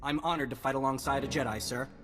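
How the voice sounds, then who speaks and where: A man playing an earnest, hard-pressed soldier in a video game, speaking in a brisk military way. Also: medium pitch, steady tone, close to an everyday voice. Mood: urgent. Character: earnest, hard-pressed male soldier, respectful field lieutenant. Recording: long reverb, music underneath.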